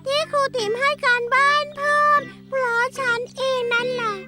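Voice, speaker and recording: A woman playing an excitable, dramatic young schoolgirl in a cartoon dub. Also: very high voice, normal speed. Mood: puzzled. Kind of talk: Thai cartoon dubbing. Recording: loud music behind.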